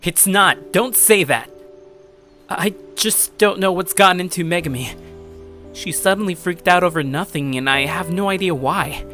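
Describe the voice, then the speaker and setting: A man playing a troubled, earnest high school boy who narrates his own story in a sincere, brooding way. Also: moderate pace, pushed and bright, soft background music. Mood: pleading, earnest. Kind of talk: scripted dramatic narration and character dialogue.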